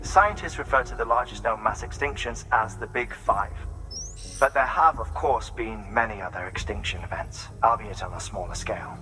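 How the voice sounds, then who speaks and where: A man's voice: lowish voice, normal speed, over music, close to an everyday voice, low-quality recording. A man playing an eager, intellectual scientist in a video game, lecturing articulately and answering his own questions. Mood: excited, theorising. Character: eager, intellectual male scientist theorising about extinction. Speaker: scientist character. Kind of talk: video game dramatic voice acting.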